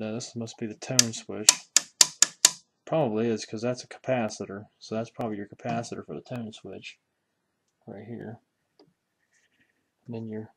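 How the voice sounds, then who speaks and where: A man giving a casual, unscripted hobbyist walkthrough in a folksy way, musing aloud as he handles parts. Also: mid-range voice. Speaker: hobbyist radio restorer presenting a repair video.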